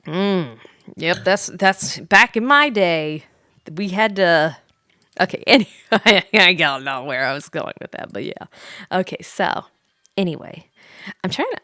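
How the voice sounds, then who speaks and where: A woman's voice: on the low side, fairly bright tone, no echo, clean studio sound. A woman hosting a livestream, chatting casually with viewers in a playful, giggly, rambling, slightly tipsy way. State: tipsy from drinking wine. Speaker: livestream host, VTuber.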